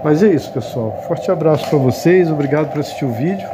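Brazilian man over loud radio noise. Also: on the high side, lively intonation, fast. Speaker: amateur radio video host. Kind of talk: casual hands-on vlog demonstration. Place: tiled enclosed home terrace room. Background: radio static, Morse sidetone beeps.

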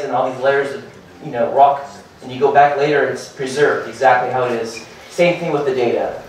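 Man talking fast in an echoey room. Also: mid-range voice, recorded from a distance, slight background noise. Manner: hurried, filler-heavy, restarts and repeats words. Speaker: startup presenter. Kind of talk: conference talk. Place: meetup room with projector and seated audience.

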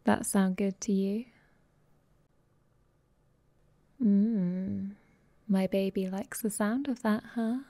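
A woman's voice: mid-range voice, fairly even intonation, takes it slowly, warm tone, no room sound. A woman playing a playfully dominant, affectionate vampire girlfriend in an intimate ASMR roleplay, cooing and teasing, often dropping toward a whisper. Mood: smug, affectionate.

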